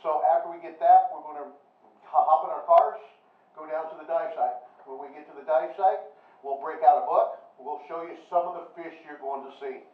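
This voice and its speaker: Man, dive instructor, Nebraska accent, slightly high voice, tinny echoey room recording from a distance. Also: normal speed. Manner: repeats "okay?" often, plain and reassuring. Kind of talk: pre-dive safety briefing. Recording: echoey, far-off, phone-like tinny sound.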